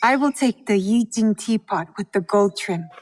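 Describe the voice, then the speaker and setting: A woman's voice: medium pitch, lively intonation, normal speed, a little mellow, lightly acted. An actress playing a guarded, earnest young female shinobi in a game cutscene, speaking in a reserved, formal and terse way. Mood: humble, polite. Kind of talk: video game cutscene acting.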